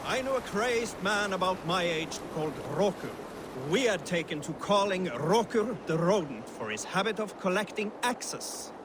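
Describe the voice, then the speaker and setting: A man's voice: sits high, lively intonation, normal speed. A man playing a Viking raider who tells a boastful tale in a dramatic storytelling style, in a video game. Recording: loud water and ship noise behind.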